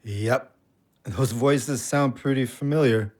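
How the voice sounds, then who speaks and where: A man's voice: medium pitch, slow, darkish tone, a little hiss or hum, lightly acted. A man acting in an audio play as a reflective ex-convict trying to stay sober, with a Canadian accent and an earnest, colloquial manner. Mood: wry reflection.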